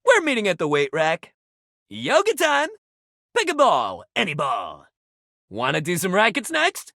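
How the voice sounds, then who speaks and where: An actor playing a sports-obsessed, warm-hearted young jock pal in a video game, with exuberant, slangy bro talk. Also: male voice, sits high, huge pitch swings, measured pace, creaky, no room sound, clean studio sound, exaggerated voice. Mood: peppy. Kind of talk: video game character acting.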